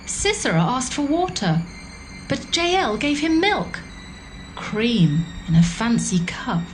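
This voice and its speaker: A woman's high voice tells the victory song slowly, with her pitch rising and falling widely. Noticeable background noise sits under the dramatised scripture. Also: sing-song. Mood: dramatic. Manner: slow, dramatic storytelling.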